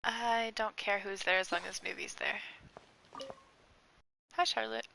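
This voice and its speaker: A woman's voice: mid-range voice, steady tone, unhurried, gravelly, bright, forceful tone, a little hiss or hum, low-quality recording. A woman giving casual, reactive gameplay commentary, effusive and silly, chatting to the game's characters. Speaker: game streamer. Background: faint game music.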